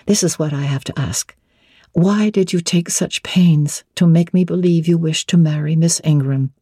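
A woman's voice: low voice, normal speed, warm tone, husky, no room sound, studio quality. A woman playing a spirited, frank young bride-to-be with a playful, challenging manner in a dramatic novel reading. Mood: forthright.

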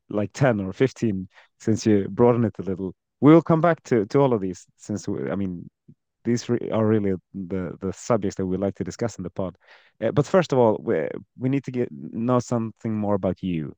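A man's voice: on the low side, dark tone, dry recording. A man hosting a podcast, introducing his guest in an enthusiastic, stumbling way. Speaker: podcast host. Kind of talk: casual interview introduction.

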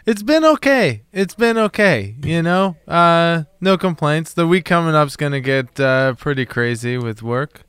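A young man from Canada co-hosting a casual podcast, laid-back and joking with drawn-out fillers. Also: fairly high pitch, lively intonation, fairly bright tone, smooth, no room sound. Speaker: podcast co-host. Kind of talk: casual chat.

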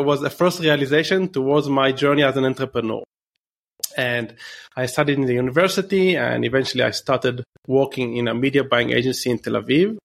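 Gravelly Israeli man, chatty guest. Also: medium pitch, fairly bright tone. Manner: loose and chatty, many fillers and restarts. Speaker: guest entrepreneur. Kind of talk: interview answers.

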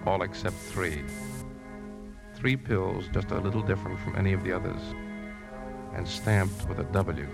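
A grave, troubled leading man in a 1950s radio drama. His low voice is measured and earnest, with a brooding air. Music plays clearly behind him on the old broadcast. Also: steady tone. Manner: brooding first-person narration and measured, earnest dialogue. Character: grave, troubled man narrating his plan to trap a poisoner.

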